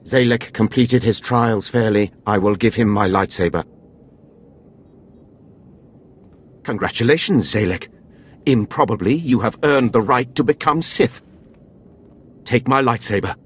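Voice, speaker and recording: An actor playing a haughty, scornful Sith academy overseer in a video game, contemptuous and theatrical. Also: male voice, mid-range voice, normal speed, husky, lightly acted. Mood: grudging.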